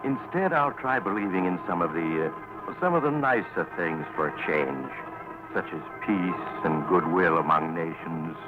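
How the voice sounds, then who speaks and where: An actor playing a wry, mysterious storyteller who hosts a 1940s radio anthology in a sly conversational manner. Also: male voice, low-pitched, animated, a little slow. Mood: wry, genial. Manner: conversational, sly, tongue-in-cheek. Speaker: host narrator. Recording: dull vintage radio with music behind.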